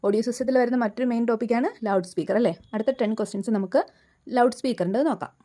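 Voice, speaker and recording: A woman with a Malayali accent working through a scripted quiz lesson as an exam tutor, reading items out in a deliberate, enunciated way. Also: a little high, dark tone.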